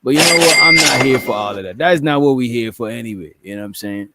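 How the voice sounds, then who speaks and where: Gravelly profane reaction host man. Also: medium pitch, fast, heavy background noise. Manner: profane, laughing, repeats "you know what I'm saying". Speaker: commentary show host. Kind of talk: casual reaction commentary.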